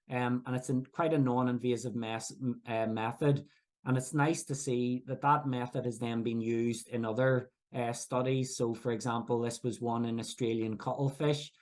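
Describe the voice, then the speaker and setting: A man from Belfast with a Belfast accent, presenting research at a workshop in a halting, explanatory way with many restarts. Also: medium pitch, darkish tone. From Northern Ireland. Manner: hesitant, frequent fillers and restarts, explanatory. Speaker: researcher giving a workshop talk. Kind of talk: academic presentation.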